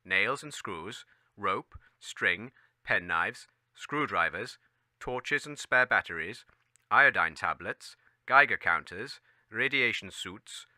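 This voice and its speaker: A man playing a deadpan, officious government announcer reading a scripted public warning broadcast. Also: fairly low pitch, dry recording, clean studio sound, exaggerated voice.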